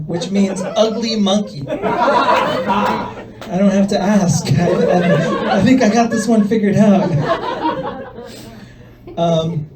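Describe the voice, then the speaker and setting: A young man from El Salvador doing a stand-up set in a comedy club, with a soft voice and a hesitant, deadpan delivery full of fillers. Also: sits high. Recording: voice from far off the mic.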